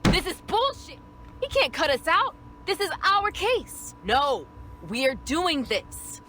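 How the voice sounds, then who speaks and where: A woman's voice: mid-range voice, expressive, measured pace, background noise, lightly acted. A woman playing a brash, stubborn, hot-headed police officer with a blunt, defiant manner. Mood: outraged.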